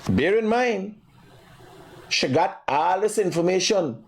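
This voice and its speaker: High-pitched Guyanese man, live show host. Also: animated, takes it slowly, gravelly, husky. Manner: emphatic, repetitive, accusatory. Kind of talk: opinionated live commentary.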